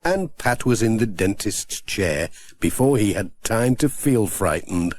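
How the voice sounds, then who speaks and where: Unhurried, very expressive male storyteller. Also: on the low side. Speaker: audiobook narrator. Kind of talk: scripted children's story narration.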